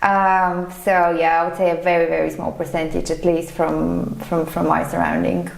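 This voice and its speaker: A woman from Bulgaria speaks as a guest in a conversational interview. Her voice sits a little low, and she talks in a thoughtful, hesitant way. The sound is noticeably echoey. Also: little pitch movement, normal speed, gravelly. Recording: echoey, reverberant room sound.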